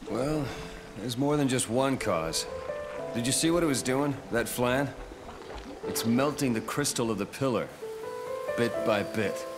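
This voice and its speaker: A reckless, confident young hero, played by a male actor in a dramatic video game. He talks in a casual, cocky drawl, with loud music running behind him. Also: mid-range voice, animated, a little slow, lightly acted. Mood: earnest, determined.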